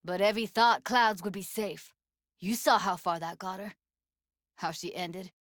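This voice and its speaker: A woman's voice: mid-range voice, a little slow, a bit bright, no echo, clean studio sound, close to an everyday voice. An actress playing a young, streetwise female techie in a video game, blunt and guarded but emotional, speaking in casual, clipped, intimate slang. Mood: upset. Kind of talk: naturalistic video game dialogue acting.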